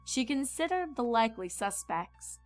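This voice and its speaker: A woman's voice: on the high side, very animated, moderate pace. A woman reading scripted storybook narration as the narrator. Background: background music.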